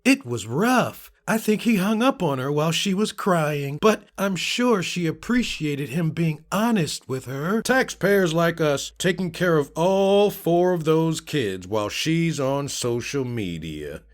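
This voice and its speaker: A man with a high voice gives a dramatic reading of social media comments. His pitch swings widely and his delivery is theatrical, changing from one comment to the next. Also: expressive, a little slow, few pauses.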